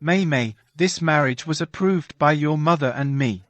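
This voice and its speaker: A man's voice: fairly high pitch, moderate pace, fairly bright tone, no room sound, lightly acted. A man playing a middle-aged, concerned and conciliatory father in a scripted audio drama. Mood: earnest, regretful.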